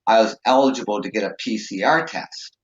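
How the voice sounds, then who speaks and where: A man with a lowish voice hosts a casual talk show, rambling with many fillers and restarts. His throat is sore and raw, and he holds back a cough. The sound is echoey and a bit muffled. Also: a little fast. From Canada. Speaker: show host.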